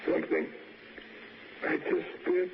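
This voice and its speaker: A man's voice: slow, dull audio, background noise, close to an everyday voice. An actor playing a distraught young man who pleads and confesses in a 1960s radio drama. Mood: dazed, confessing. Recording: old 1960s radio broadcast, band-limited.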